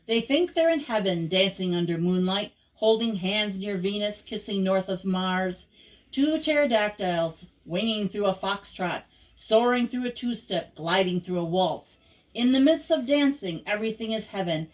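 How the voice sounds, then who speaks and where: An older woman, a grandmother, reading children's rhyming poems aloud in an expressive, rhythmic, theatrical way. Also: mid-range voice, normal speed, rough recording.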